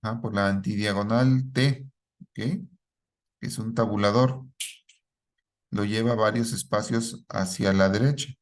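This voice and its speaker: A middle-aged man teaching a programming class, quizzing students and checking in with a quick "¿sí?" after each point. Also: lowish voice, fairly even intonation. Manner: asks the class questions and repeats their answers, ends lines with a checking "¿sí?". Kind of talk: live classroom lesson.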